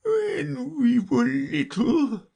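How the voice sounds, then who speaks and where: A mournful old turtle from a storybook, pitched very high and dragging out every word. He sounds touchy, tearful and sorry for himself, with a voice that swoops about. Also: big pitch swings, gravelly, mellow, breathy edge, exaggerated voice. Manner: slow, drawn-out, sobbing, self-pitying delivery. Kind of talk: storybook character voice.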